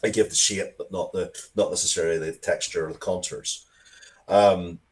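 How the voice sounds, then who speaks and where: A man's voice: low voice, steady tone, moderate pace. A man chatting casually as an interview guest, rambling and often breaking off to restart his sentences. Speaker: interview guest, comics and game writer.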